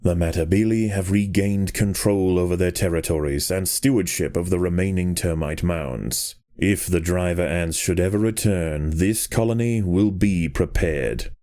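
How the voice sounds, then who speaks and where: A man reading scripted nature documentary narration for a game in a measured, grave storytelling manner. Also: deep voice, mellow.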